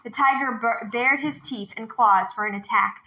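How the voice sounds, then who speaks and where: A woman narrating a children's novel aloud in an expressive storytelling style. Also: on the high side, normal speed, low-quality recording.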